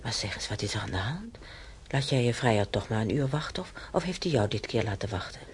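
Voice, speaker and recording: A woman's voice: extremely low pitch, lively intonation, brisk, breathy edge, exaggerated voice. An actress playing a chatty, wistful hospital patient in her seventies in a radio drama, rambling and homely as she reminisces. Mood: grumbling, teasing. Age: 70s. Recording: noisy old radio play recording.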